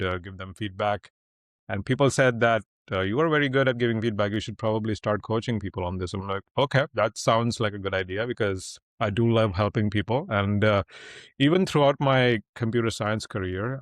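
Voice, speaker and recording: Blunt Indian man with a low voice. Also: dry recording, studio quality. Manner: blunt, talkative, rambling with frequent "uh" fillers. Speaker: guest, public speaking coach. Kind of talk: casual interview storytelling.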